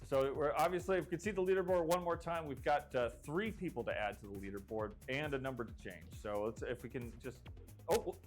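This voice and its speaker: A man hosting a live stream game show, rambling and jokey with many restarts, his voice getting hoarse. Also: medium pitch, a little fast, faint music behind. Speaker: host.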